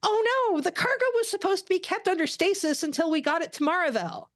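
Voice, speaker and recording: A woman voicing an earnest, excitable young female alien lieutenant in an animated sci-fi table read, with pleading, emphatic delivery. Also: very high voice, brisk, no echo, studio quality, pitched above the performer's own voice. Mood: alarmed.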